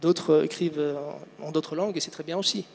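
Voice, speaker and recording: A man from Tunisia with a fairly high voice speaks as a guest author on a panel. He talks haltingly, circling back over words, in a hall with long reverb. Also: steady tone, gravelly, a little mellow. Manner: hesitant, halting, repeating words. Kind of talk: spontaneous answers at a public book panel. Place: large hall at a book fair.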